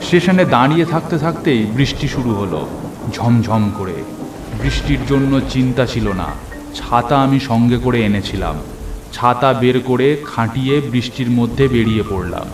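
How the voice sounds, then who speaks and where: Guy telling a spooky story dramatically, mid pitched voice, roomy echo with music under it. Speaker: first-person story narrator. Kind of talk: dramatic scripted horror narration.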